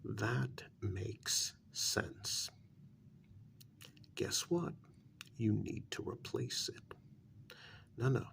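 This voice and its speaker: A man speaking softly in an ASMR role-play, half whispering, with a breathy, creaky voice and a deliberate, halting delivery in short phrases. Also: on the low side, slight background noise.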